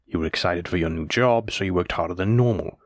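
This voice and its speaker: Guy doing a demon lord ruling hell, lowish voice, measured and bossy, dry close sound, audio roleplay. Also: no echo, lightly acted. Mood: stern disappointment. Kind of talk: scripted audio roleplay monologue.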